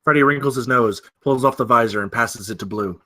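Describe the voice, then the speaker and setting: A man's voice: mid-range voice, a little fast, pushed and bright. A man narrating screenplay stage directions in a dramatic, scripted style at a table read.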